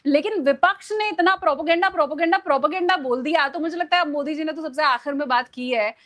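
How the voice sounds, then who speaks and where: A woman's voice: sits high, fast talker, a bit bright. A woman giving conversational political commentary, emphatic and explanatory, repeating phrases for stress. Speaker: journalist guest on a discussion show.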